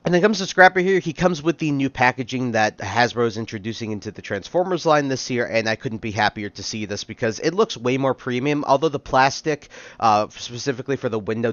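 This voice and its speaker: A man's voice: medium pitch, fairly bright tone, dry recording, muffled recording. A man with a slightly nasal, congested voice hosting a casual toy review at home, chatty and upbeat. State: congested, getting over a cold. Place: home recording room.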